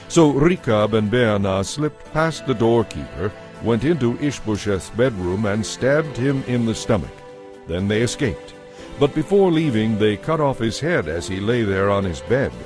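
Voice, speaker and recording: A man narrating scripture from a script in a measured, solemn manner. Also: fairly low pitch, lively intonation. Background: soft music.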